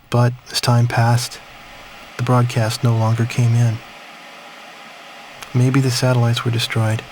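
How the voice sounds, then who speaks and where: An actor playing a reflective man who recalls his wartime childhood in measured, somber game-story narration. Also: mid-range voice, background noise, natural voice. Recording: music behind the voice. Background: prominent music.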